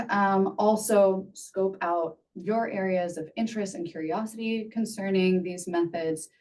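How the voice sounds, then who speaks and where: Low-voiced woman presenting from afar. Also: darkish tone, far from the mic, a little hiss or hum, rough recording. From Spain. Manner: earnest, measured, with frequent fillers. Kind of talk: webinar presentation.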